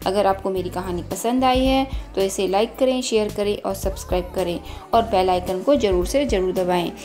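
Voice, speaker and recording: A woman's voice: mid-range voice, moderate pace, warm tone. A woman narrating a scripted children's story as the host of a storytelling channel. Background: background music.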